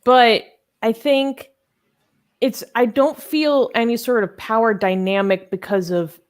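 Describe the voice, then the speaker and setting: A woman's voice: fairly high pitch, fairly even intonation, measured pace. A young woman co-hosting a live Q&A chat, thinking aloud and hesitant, with many ums. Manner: thoughtful, hesitant, lots of ums and laughs. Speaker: co-host.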